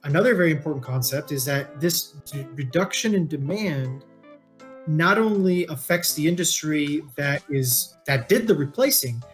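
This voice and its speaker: A man in his thirties co-hosting a discussion, explaining things casually and informally with free use of swearing, recorded on a headset in a room. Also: a little high. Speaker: co-host of a read-along book discussion show. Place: indoor room, remote video call.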